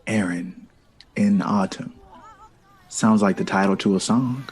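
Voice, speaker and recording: An actor playing an urbane, witty and smitten jazz composer in an audio drama, with refined, theatrical phrasing that savors words. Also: male voice, fairly low pitch, a little slow, natural voice. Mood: charming, flirtatious.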